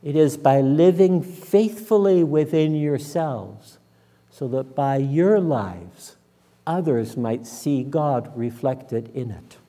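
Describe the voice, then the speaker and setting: A man in his sixties, a minister preaching and praying in a measured, solemn, deliberate manner. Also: mid-range voice, dark tone. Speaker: minister leading worship. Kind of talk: sermon and public prayer. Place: church sanctuary, at the front and pulpit. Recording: reverberant church hall.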